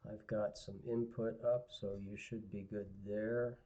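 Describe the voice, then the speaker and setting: A male guitar teacher giving a live online lesson, hoarse and raspy, clearing his throat often, talking to viewers in a relaxed, rambling way. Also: on the low side, unhurried. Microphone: computer mic on a live stream. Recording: dull lo-fi livestream audio.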